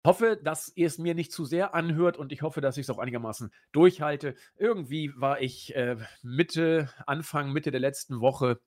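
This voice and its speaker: Hoarse chatty man hosting a podcast. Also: a little high, normal speed, hardly any pauses, darkish tone. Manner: chatty, restarts sentences, laughs at own slips. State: hoarse with a cold. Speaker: podcast host. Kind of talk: casual podcast chat.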